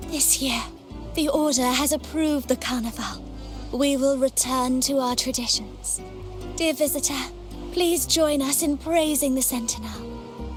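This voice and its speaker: An actress playing a devout, fearful worshipper preaching repentance in a video game, with reverent, solemn, theatrical delivery. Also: female voice, high-pitched. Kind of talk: video game story dialogue acting.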